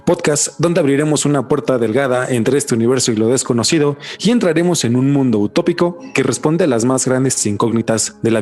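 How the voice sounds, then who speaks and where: A man's voice: medium pitch, a little mellow. A man hosting a podcast, moving between scripted presenting and casual chat. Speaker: podcast host.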